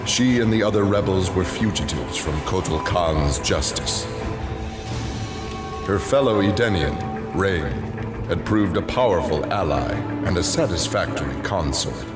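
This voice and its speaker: A man delivering scripted dramatic narration for video game story endings in a solemn, measured way. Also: low-pitched, animated. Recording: echoing voice under loud music. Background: loud music.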